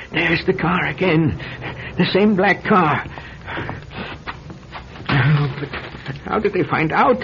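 Frightened, high-voiced old pensioner. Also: male voice. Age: 70s. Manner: agitated, halting, muttering to himself. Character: frightened, paranoid seventy-year-old pensioner living alone. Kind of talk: radio drama acting. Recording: dull, noisy old radio recording.

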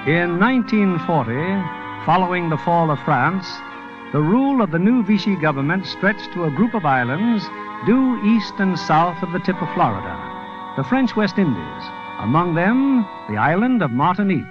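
A man's voice: high-pitched, big pitch swings, moderate pace. A man narrating a solemn scene-setting script for a 1940s radio drama. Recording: muffled old radio broadcast, music behind.

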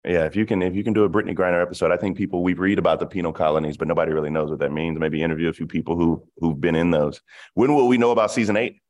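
Deep-voiced man in his thirties hosting. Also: steady tone, rapid-fire, darkish tone. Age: 30s. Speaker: podcast host. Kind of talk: casual interview questions.

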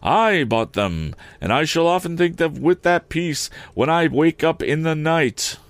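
Shrill, affected older gentlewoman voiced by a male narrator; lively, complaining lilt. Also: dry recording. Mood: prim. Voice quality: high, shrill, drawn-out. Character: fussy self-pitying older lady, shrill and prim. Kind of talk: audiobook character reading.

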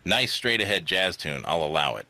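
A man's voice: sits low, expressive, normal speed, creaky, sharp tone, dry recording. A man hosting a casual podcast chat, teasing and picky about music. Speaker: podcast host.

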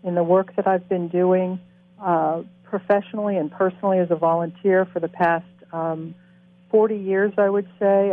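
A woman in her sixties from Boston speaks earnestly and directly, her voice sitting low. The sound is dry and thin, carried over a phone line. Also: no room sound. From Boston, USA. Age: 60s. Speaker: coach educator. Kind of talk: panel self-introduction. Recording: tinny phone-line audio.

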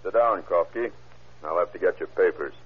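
An actor playing a brisk, gloating tough immigration officer in a 1930s radio crime drama, curt and taunting. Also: male voice, deep voice, husky. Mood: smug, curt. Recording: tinny, band-limited old radio broadcast, noisy bustle.

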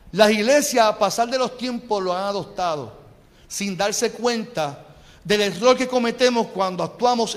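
High-voiced Puerto Rican preacher. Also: male voice, big-room sound. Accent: Puerto Rican Spanish. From Puerto Rico. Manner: impassioned, calls the congregation for amens. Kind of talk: sermon. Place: church sanctuary.

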